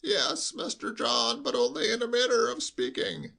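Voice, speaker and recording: A man reading a story aloud and voicing a timid old male butler with a high, bleating, quavering voice and a slow, deferential, hushed way of talking. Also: wildly swooping pitch, creaky, exaggerated voice. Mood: timid, confiding.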